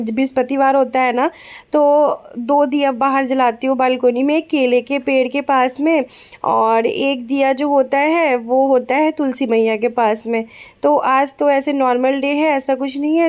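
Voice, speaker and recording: A young woman from Bihar, raised in Kolkata, narrating her casual family vlog softly and gently because of a sore throat, chatty and unhurried with many fillers. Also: high-pitched. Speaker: vlogger.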